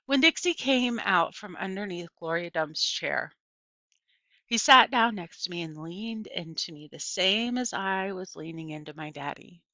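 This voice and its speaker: A woman narrates a storybook in a bright, forward voice. The recording is dry and close, with a slightly muffled, lo-fi sound. She reads as a first-person storyteller. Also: fairly low pitch, expressive, a little slow, no echo. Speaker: audiobook reader.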